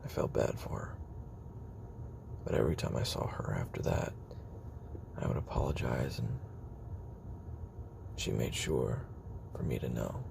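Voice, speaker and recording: Guy acting as a worn out haunted ex assassin, super low croaky voice, halting brooding confession in an audio drama, noisy recording. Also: expressive, exaggerated voice. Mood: sorrowful, halting. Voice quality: very deep gravelly voice, often sinking into whisper. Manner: halting, brooding, with trailing pauses. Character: haunted, weary male former child assassin recording tape memoir. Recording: noisy homemade recording with background ambience.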